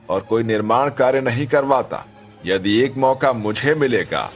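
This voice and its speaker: A man's voice: mid-range voice, lightly acted. A man voicing an earnest ghost politician making speeches in a cartoon story. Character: earnest male ghost politician pleading for votes. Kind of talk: Hindi cartoon story dialogue.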